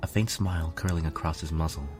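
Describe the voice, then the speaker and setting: A man narrates a piece of fiction from a script. His voice is low, and faint music plays underneath as he reads. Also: steady tone, quick pace. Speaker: fan fiction reader, narrator.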